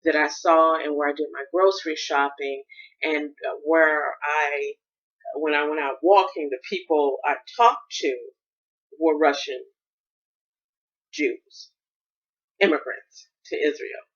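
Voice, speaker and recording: A woman's voice: low-pitched, steady tone, slow pace, pauses often, a bit bright, muffled recording. An American woman hosting a solo podcast, thinking aloud in a rambling, reflective monologue with frequent restarts. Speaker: podcast host.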